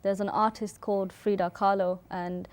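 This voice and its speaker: A woman's voice: medium pitch, level tone, moderate pace, darkish tone. Informal woman from Sri Lanka, being interviewed. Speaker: interview guest, artist and photographer. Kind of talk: casual interview answers.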